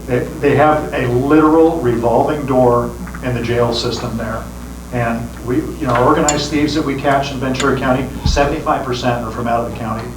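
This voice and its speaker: Brief: man, sheriff pitching re-election to a crowd, earnest, restarts phrases, heard from across an echoey room with background noise. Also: fairly even intonation, normal speed, recorded from a distance. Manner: earnest, repeats words when starting phrases. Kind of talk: campaign speech to a meeting. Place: meeting room with an audience.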